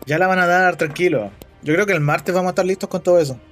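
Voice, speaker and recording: A man's voice: high voice, fast talker, fairly bright tone, soft background music. A young man with a Chilean Spanish accent, chatting casually and joking as he reads chat messages during a game stream.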